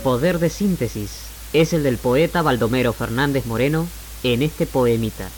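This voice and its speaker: Male radio presenter, mid-range voice, measured 1960s literary narration, faint music underneath, archival AM recording. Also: mid-range voice.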